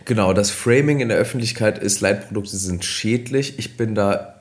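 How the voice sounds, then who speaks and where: A man, a nutrition expert co-hosting a podcast, explaining casually with many fillers, slightly hoarse from a cold. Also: fairly low pitch, normal speed.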